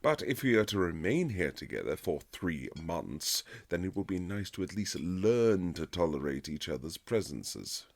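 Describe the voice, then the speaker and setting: A man playing a haughty, sardonic aristocratic husband in a romantic period audio drama, speaking in formal, measured, teasing phrases. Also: deep voice, big pitch swings, full character voice. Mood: irritated, stiff. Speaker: audio roleplay performer. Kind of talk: romantic period-drama ASMR roleplay, scripted.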